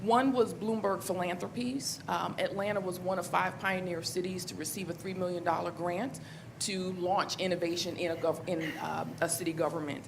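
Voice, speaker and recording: A woman speaking as a city government official on a conference panel, in a measured, businesslike way with frequent ums. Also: fairly low pitch, fairly even intonation, creaky, background noise.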